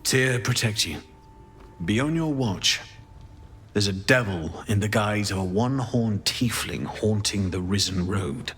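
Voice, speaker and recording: Solemn holy warrior, faint music behind. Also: male voice, fairly low pitch, expressive, measured pace, fairly bright tone. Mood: wary warning. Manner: earnest, urgent, formal warning. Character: guarded, solemn, devout male holy warrior. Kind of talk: video game character acting.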